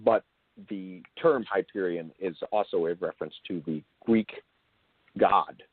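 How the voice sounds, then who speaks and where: A man's voice: low voice, measured pace, dry recording. A man hosting an interview in casual talk, with hesitant, stop-and-start phrasing. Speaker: interview host. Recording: tinny, band-limited phone-like audio.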